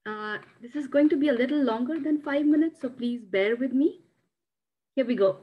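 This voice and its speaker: A woman's voice: sits high, normal speed, breathy edge. A woman, an amateur storyteller on a video call with dull, lo-fi sound. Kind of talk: reading a written first-person story aloud.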